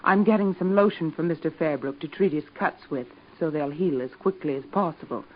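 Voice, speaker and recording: An actress plays a coolly determined young schemer in a vintage radio serial. Her voice is fairly low and her delivery deliberate and dramatic. The old recording sounds dull. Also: a little hiss or hum, natural voice. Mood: intense, determined. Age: young adult. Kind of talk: 1940s radio soap acting.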